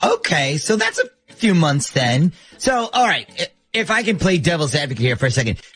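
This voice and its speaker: A man's voice: on the high side, wildly swooping pitch, moderate pace, a bit bright, slightly rough, soft background music. A man hosting a morning radio show, bantering with a caller in an upbeat phone-in style.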